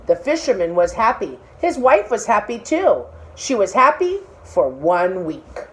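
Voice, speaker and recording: Animated woman reading a children's story. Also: mid-range voice, measured pace. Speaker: storybook reader. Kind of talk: expressive children's story narration.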